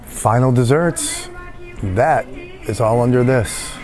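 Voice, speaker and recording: A man's voice: mid-range voice, slow, over music. A man in his fifties filming himself eating at a restaurant, chatting and joking about each dish. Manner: enthusiastic, jokey commentary while eating. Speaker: food vlogger. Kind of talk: casual dining vlog. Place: fine-dining restaurant indoors. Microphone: phone at arm's length.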